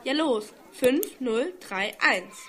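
A woman's voice: high-pitched, animated, slow pace, music in the background. A teenage girl acting a curious, matter-of-fact schoolgirl in an amateur radio play. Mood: matter-of-fact, puzzled.

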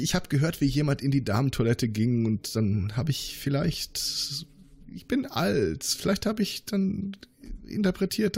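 Guy doing a grumpy paranoid old doctor, husky voice, pitch jumps all over, blustery rpg character. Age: older figure. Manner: blustering, repeats questions in outrage. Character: indignant, paranoid old male doctor and inventor. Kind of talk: improvised role-play.

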